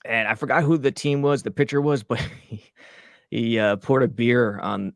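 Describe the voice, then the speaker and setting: A man's voice: medium pitch, steady tone, moderate pace, gravelly, no echo, studio quality. A man co-hosting a sports talk show in easygoing chat, laughing along with callers. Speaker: co-host.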